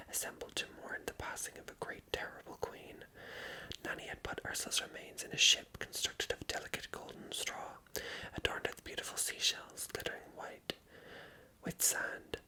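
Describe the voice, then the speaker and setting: Whispered ASMR storybook narrator, crisp and breathy. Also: low-quality recording. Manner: hushed, unvoiced whispering. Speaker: ASMR reader and channel host.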